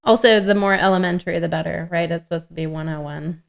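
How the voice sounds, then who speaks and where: A woman's voice: lowish voice, fairly even intonation, quick pace, gravelly. A woman co-hosting a podcast in an informal, joking way, reading from a script and chatting. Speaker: podcast co-host. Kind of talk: casual table read with banter.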